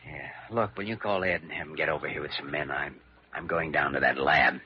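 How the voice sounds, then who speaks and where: A male American actor playing a calm, quick-thinking hospital doctor in a classic radio drama, speaking in a measured, reasoning way. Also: a bass voice. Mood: urgent, resolved. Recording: dull, noisy vintage radio recording with hum.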